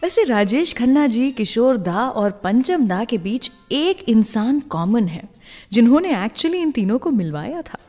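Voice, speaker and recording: A woman's voice: fairly high pitch, sing-song, a little slow, a little mellow. Woman radio host in long reverb. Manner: conversational storytelling, addresses listeners directly. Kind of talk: music radio presenting with film trivia. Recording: long reverb, music underneath. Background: music bed.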